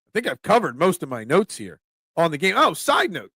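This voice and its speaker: A man's voice: a little high, huge pitch swings, a little fast, sharp tone, slightly rough, no room sound, clean studio sound. A young man with a Philadelphia accent hosting a casual sports talk stream, chatting loosely and answering viewers by name. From Philadelphia area. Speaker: sports talk show host.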